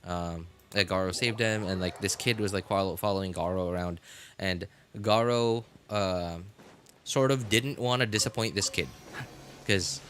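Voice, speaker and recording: A man's voice: deep voice, moderate pace, fairly bright tone, a little hiss or hum. A man streaming live, chatting casually and rambling, with many fillers and self-corrections as he answers his chat.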